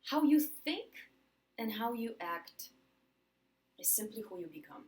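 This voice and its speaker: A woman with a Polish accent delivers a motivational talk to camera. Her delivery is emphatic, with words repeated for stress. She slows deliberately on the phrases that matter most. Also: fairly high pitch, big pitch swings, darkish tone. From Poland. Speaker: motivational speaker.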